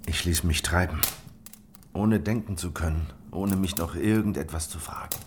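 An actor playing a weary, haunted man who survived a failed revolt, recounting it gravely in a historical radio drama. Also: sits low, normal speed, background noise, lightly acted. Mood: bitter, haunted.

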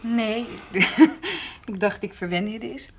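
An actress playing a forthright, affectionate and insistent woman in a naturalistic radio drama, with a husky voice. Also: a little high, animated, slow pace, slight background noise. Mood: breezy.

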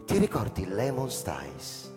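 A reflective, uneasy headmaster telling his story in a radio play. He speaks theatrically and deliberately, with pauses and hushed phrases. Long reverb surrounds him and music plays loudly underneath. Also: lowish voice, huge pitch swings. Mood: troubled. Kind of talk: dramatic first-person narration, Italian radio drama. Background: loud music.

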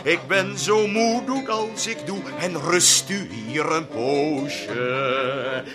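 Jonge dichter met luit. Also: male voice, on the high side, very slow. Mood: singing. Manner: sings verses, chuckles while explaining. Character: young witty amorous poet, playful and charming. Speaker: poet presenting his play. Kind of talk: 1970 radio drama, sung ballad with guitar. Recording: music behind the voice, old radio broadcast. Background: plucked guitar.

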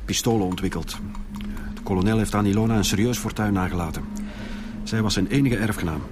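An actor playing a brisk, businesslike Flemish police director in a radio drama. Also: male voice, lowish voice, noisy background, close to an everyday voice. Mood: informative, insistent. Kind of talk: Dutch-language radio drama acting.

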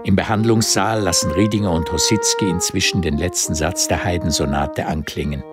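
Low-voiced male literary narrator. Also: fairly even intonation, moderate pace, loud music behind the voice. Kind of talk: literary scripted narration in a radio drama.

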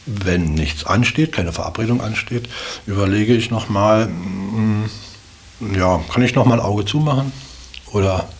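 A man's voice: fairly low pitch, a little slow. A man talking casually and reflectively about himself, hesitantly thinking as he speaks. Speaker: interviewee. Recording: clear background noise, ambience.

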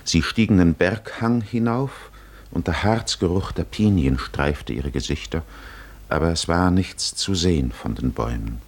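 A man with a low voice narrates a literary radio play in the 1950s manner. He speaks very slowly and solemnly, setting deliberate pauses between phrases. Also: lively intonation, husky.

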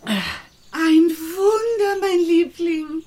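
A woman's voice: very high voice, expressive, extremely slow, noisy background, full character voice. A woman playing a devout, doting mother in a radio drama, tearful and solemnly reciting scripture. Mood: moved, reverent.

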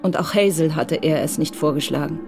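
A woman's voice: low-pitched, a little fast. A woman narrating a scripted audio drama in Standard German. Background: music.

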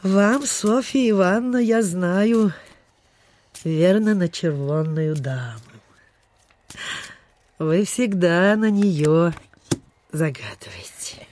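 An actress playing a meek, kindly little old landlady in a radio play, gentle and sighing. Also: fairly low pitch, animated, slow pace, pauses often. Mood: obliging. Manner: gentle, sighing, pitying, old-fashioned diminutives. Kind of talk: Soviet radio play acting.

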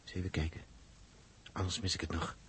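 An actor playing a grumpy, impatient, jealous sidekick with a rough, husky voice in a 1960s radio drama. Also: male voice, deep voice, level tone, fairly quick, fairly bright tone, slight background noise. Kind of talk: 1960s Dutch science fiction radio drama.

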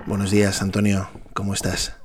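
Man acting a very deep-voiced, grave senator. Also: completely flat delivery, brisk, heavy background noise, close to an everyday voice. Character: grave, resigned senator, reasonable brother. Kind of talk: radio drama acting.

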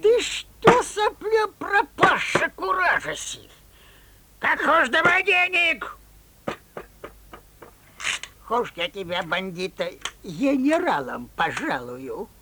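High-pitched, blustering cartoon tsar. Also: male voice, big pitch swings, slow pace, long pauses, pushed and bright, a little hiss or hum, heavily acted. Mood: angry blustering. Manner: theatrical, folksy, swinging from pleading to scolding. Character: blustering, whiny old-fashioned Russian tsar, comic cartoon ruler. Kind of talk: comic fairy-tale audio play acting.

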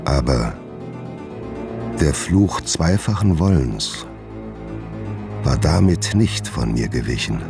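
A guilt-ridden doctor confesses in a radio play, in an extremely deep voice. He is grave and literary, weighing each phrase slowly over music. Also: animated, gravelly, full character voice. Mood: grave, remorseful. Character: guilt-ridden doctor confessing his dark double life. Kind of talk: German radio play monologue.

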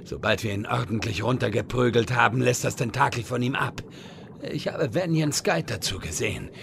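A man's voice: medium pitch, moderate pace, vocal fry, noisy background. A man playing an earnest, pedantic know-it-all raid leader in a fantasy comedy audio drama. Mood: commanding.

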